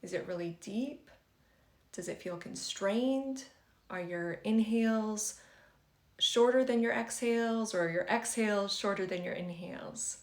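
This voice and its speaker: A woman leading a guided meditation for beginners in a gentle, conversational and reassuring way. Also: mid-range voice, measured pace. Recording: roomy, echoing sound.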